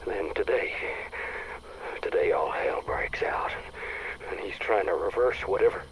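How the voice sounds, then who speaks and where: Slow-talking, salty everyman. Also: deep voice, expressive, background noise. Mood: uneasy. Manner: laid-back, colloquial, halting. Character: easygoing, salty bourbon-loving man, wry and rough-edged. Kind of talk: video game audio log monologue.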